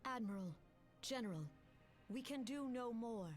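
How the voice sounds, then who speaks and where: A woman playing a solemn, composed elven leader in a video game, speaking formally and gravely. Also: a little high, unhurried, soft background music, close to an everyday voice. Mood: grave, pleading. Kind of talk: dramatic video game acting.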